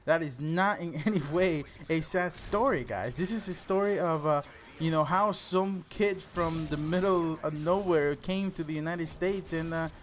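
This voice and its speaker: A man's voice: a little high, normal speed, a little hiss or hum. A young man from El Salvador, a native Spanish speaker with a Salvadoran Spanish accent, hosts a gaming channel, telling personal stories casually and earnestly to his listeners. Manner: earnest, addresses listeners as "guys". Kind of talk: casual personal storytelling over gameplay commentary.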